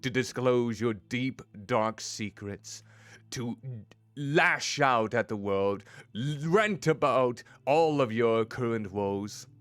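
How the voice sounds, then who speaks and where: A man streaming a story game as a VTuber, chatting with his viewers in a playful, teasing way with a deep voice. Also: slow, fairly bright tone, smooth, no room sound, faint music behind. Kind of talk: casual gameplay commentary.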